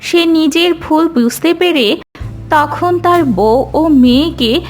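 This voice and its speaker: Woman, narrator, high-pitched, unhurried, scripted fairy-tale narration. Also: soft background music. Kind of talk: scripted children's story narration.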